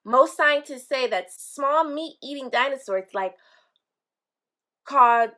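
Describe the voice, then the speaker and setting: A woman with a fairly high voice reads a picture book aloud for children. She takes it very slowly, adding simple explanations for young listeners as she goes. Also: expressive, bright, forceful tone. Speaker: read-aloud storyteller for children.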